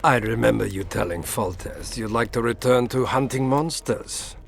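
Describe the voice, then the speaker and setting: An actor playing a courteous, scheming middle-aged Imperial ambassador in a fantasy game, formal and politely menacing. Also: male voice, mid-range voice, moderate pace, gravelly, a little hiss or hum, natural voice. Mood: coolly courteous. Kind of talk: scripted fantasy game dialogue.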